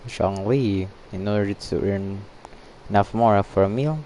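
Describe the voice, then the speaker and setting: A man playing through a video game, reading dialogue aloud and commenting in a halting, offhand way. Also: lowish voice, dark tone, slight background noise. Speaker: gaming streamer.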